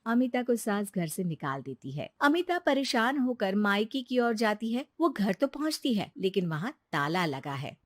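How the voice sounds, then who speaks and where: A woman's voice: medium pitch, big pitch swings, normal speed, few pauses, no echo, clean studio sound. A woman narrating a scripted moral tale as storyteller.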